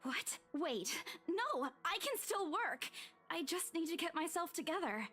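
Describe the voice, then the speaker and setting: An actress playing an anxious, apologetic young saleswoman who speaks hesitantly and haltingly in a horror visual novel. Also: extremely high pitch, sing-song, fairly bright tone, faint music behind, heavily acted.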